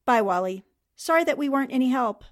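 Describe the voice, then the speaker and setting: A woman's voice: sits high, animated, quick pace. A woman playing a polite, good-natured newspaperwoman with a genteel manner, in 1940s radio drama style. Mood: friendly.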